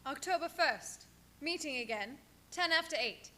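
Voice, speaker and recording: An actress playing a dutiful young wife who reads out meeting minutes as formal narration in a radio play. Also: female voice, high voice, animated, unhurried, sharp tone, full character voice. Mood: businesslike.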